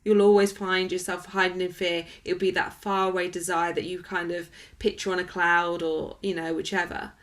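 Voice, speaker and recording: Low-pitched woman coach, motivational. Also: little pitch movement, moderate pace, hardly any pauses, a bit bright. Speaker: wellness coach being interviewed. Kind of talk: conversational interview answers.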